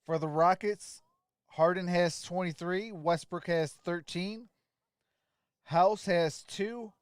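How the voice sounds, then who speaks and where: A man's voice: sits high, takes it slowly, clear, resonant tone, no room sound, studio quality. A man doing amateur play-by-play of a basketball game as a stream host, in terse, clipped phrases. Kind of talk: amateur play-by-play commentary and score updates.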